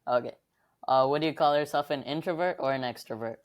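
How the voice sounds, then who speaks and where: A man's voice: medium pitch, a little fast. A man co-hosting a podcast, asking interview questions in a conversational way.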